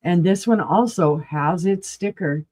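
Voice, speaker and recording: A woman running a live auction sale, chatty and enthusiastic, with a voice that is going hoarse. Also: fairly low pitch, a little slow, darkish tone. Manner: chatty, enthusiastic, rambling asides. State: losing her voice, clearing throat.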